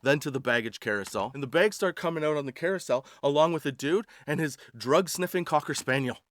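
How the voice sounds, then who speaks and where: A man's voice: on the high side, lively intonation, quick pace, a bit bright. A man in his forties hosting a podcast, telling personal stories in a wry, slangy, self-deprecating comedic style. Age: 40s. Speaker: podcast host. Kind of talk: comedic personal storytelling monologue.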